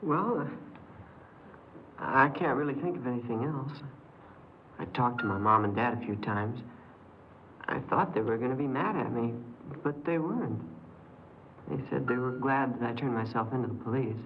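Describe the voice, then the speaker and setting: An actor playing a frightened, remorseful fifteen-year-old runaway boy in a 1950s radio crime drama, speaking haltingly. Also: mid-range voice, dull audio, noisy background, natural voice. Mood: subdued, sincere. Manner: hesitant, halting, stammering.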